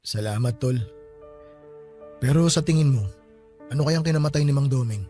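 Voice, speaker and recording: A man's voice: medium pitch, normal speed, warm tone, lightly acted. A man acting a superstitious, grieving young friend at a wake in a Filipino radio drama, with a Filipino accent and colloquial speech. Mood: somber, apologetic. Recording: music underneath.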